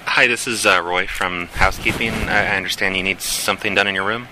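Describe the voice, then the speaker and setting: A man making a prank phone call as a hotel worker, deadpan and mock-helpful, sometimes turning indignant. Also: sits low, brisk. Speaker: prank caller posing as hotel housekeeping. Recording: noisy phone line.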